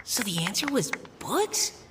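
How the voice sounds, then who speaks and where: A woman's voice: a little high, sing-song, a little slow, noisy background. A woman playing a shy, self-doubting boy knight in an anime game, hesitant and stammering. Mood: dismayed.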